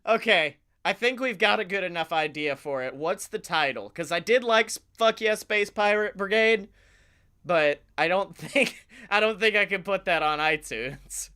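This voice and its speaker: A man co-hosting a comedy podcast, chatting casually and brainstorming in an excitable, sweary way. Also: high voice, normal speed, clean tone. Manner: excitable, profane.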